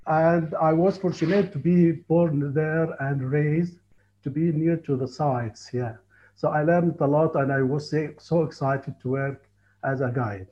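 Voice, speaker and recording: A man in his forties from Egypt with an Egyptian accent, telling his life story in a halting, earnest way over a call. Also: on the high side. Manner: halting, earnest, frequent restarts. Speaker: tour guide and Egyptologist. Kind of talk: casual interview on a call. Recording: muffled lo-fi call audio.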